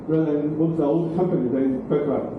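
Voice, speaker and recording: A man's voice: on the high side, fairly even intonation, fairly quick, narrow, tinny audio. Man, middle-aged, classroom lecturer, broken English, noisy hall recording. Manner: broken English, frequent "okay?" and "right?" check-ins. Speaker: university lecturer. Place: large classroom. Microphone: far room camera. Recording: very echoey classroom from far off, noisy.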